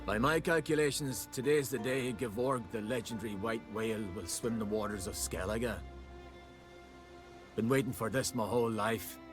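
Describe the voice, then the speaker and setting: An actor playing an eager, eccentric island scholar in a fantasy game, using folksy archaic words. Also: male voice, medium pitch, moderate pace, over music, lightly acted. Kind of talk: video game cutscene dialogue.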